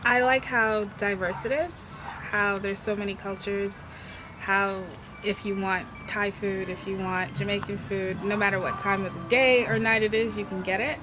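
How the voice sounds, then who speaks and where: A woman in her thirties, originally from Guyana, a high school teacher answering street interview questions in a relaxed, frank, conversational way. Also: normal speed. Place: outdoors on a city street. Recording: loud music and traffic behind.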